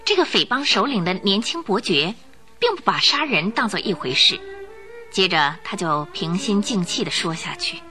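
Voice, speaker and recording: A woman narrates an old radio drama in a clear, storytelling voice. Her tone is bright and forceful, and her pitch rises and falls in a lively way. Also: normal speed, faint music behind.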